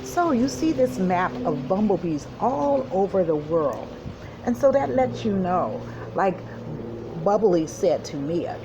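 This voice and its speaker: A woman's voice: medium pitch, noisy background. A woman school counselor reading a storybook to children and explaining it in an instructive way. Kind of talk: children's storybook read-aloud with commentary.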